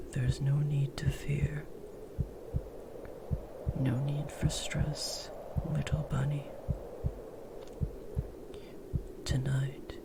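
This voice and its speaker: A man voicing a big, gentle, protective werewolf in a comforting ASMR roleplay, speaking in a hushed, soothing, breathy murmur. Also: mid-range voice, heavy background noise. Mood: soothing, tender.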